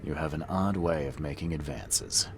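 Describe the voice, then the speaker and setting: A man's voice: very deep voice, normal speed, gravelly. An actor playing a world-weary, suspicious male investigator in a video game, terse, dry and guarded. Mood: dry, probing. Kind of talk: understated video game cutscene acting.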